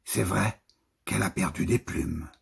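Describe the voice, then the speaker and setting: A man reads verse at a slow, measured pace. His voice is very husky and breathy, with a gravelly creak underneath. He sets each short phrase apart deliberately. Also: deep voice. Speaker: poetry reader. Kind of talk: poetry recitation.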